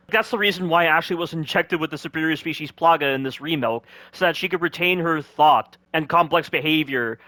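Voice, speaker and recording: Critical male game commentator, bright voice. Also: on the high side, no echo. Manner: critical, full of rhetorical questions. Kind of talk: critical gameplay commentary over cutscenes.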